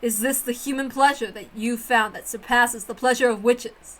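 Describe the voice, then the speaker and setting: A woman's voice: on the high side, fairly even intonation, normal speed, voice pushed down, close to an everyday voice. A woman voicing a haughty, cold witch who speaks in a pompous, formal and disdainful way in a dramatic story reading. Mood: scornful, puzzled. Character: haughty, cold, bewildered witch scorning human games.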